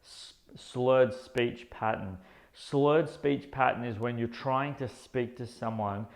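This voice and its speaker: Earnest gravelly man in his thirties. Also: medium pitch. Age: 30s. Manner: earnest, halting, many restarts. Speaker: vlogger. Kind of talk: personal health vlog. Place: indoors under LED lights.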